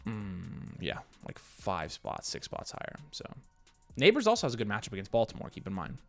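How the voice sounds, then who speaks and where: An American man hosting a live fantasy football Q&A, casually thinking aloud as he reads questions and gives quick picks. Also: on the low side, huge pitch swings, vocal fry, a bit bright, faint music behind. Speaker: fantasy football livestream host.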